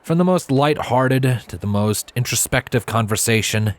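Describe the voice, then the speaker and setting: A man's voice: mid-range voice, expressive, normal speed, no room sound. A man reading a scripted story aloud as its narrator. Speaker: fan fiction narrator. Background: background music.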